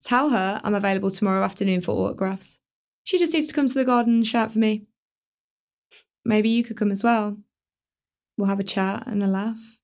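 A woman's voice: medium pitch, fairly quick, long pauses, close to an everyday voice. Woman playing a teenage girl, sarcastic, dramatic, radio drama. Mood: wry. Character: teenage schoolgirl, dramatic, quick-tempered, wry.

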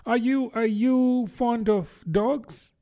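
Man doing a young girl, storybook, high-pitched, curious, polite, earnest, chatty. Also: fairly even intonation, slow pace, mellow, exaggerated voice. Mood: eager chatter. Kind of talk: storybook character voice.

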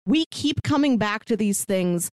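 A woman's voice: mid-range voice, animated, measured pace, a little mellow, no room sound. A woman co-hosting a podcast, talking about films in a thoughtful, sincere way, with many fillers and restarts. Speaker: podcast co-host. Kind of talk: casual film discussion.

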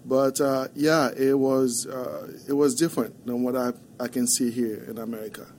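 Haitian-accented male guest, mellow and warm, quiet earnest storyteller, faint hiss behind. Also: medium pitch, steady tone. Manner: soft-spoken, halting, earnest storytelling. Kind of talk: podcast interview. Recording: faint background noise.

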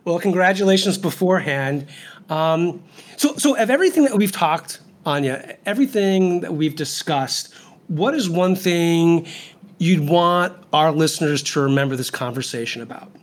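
A man hosting a casual interview, informal and halting as he rephrases his questions. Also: fairly high pitch, animated. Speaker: interviewer, podcast host.

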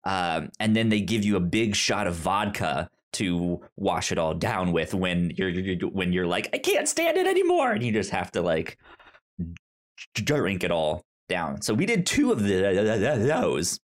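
A man's voice: low-pitched, very animated, measured pace. A young man hosting a podcast, telling a story in casual chat and often stammering over repeated syllables and words. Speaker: podcast host.

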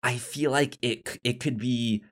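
A podcast co-host talking casually about film in a halting way, often repeating words and syllables. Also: mid-range voice, steady tone, fairly bright tone.

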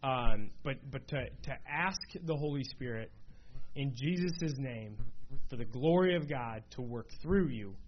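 A man's voice: mid-range voice, expressive, a little slow. A man leading a Bible study in a conversational way, often checking in with his listeners. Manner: conversational, many fillers and "right?" checks. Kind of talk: casual teaching to a small group. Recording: dull lo-fi room recording, faint noise.

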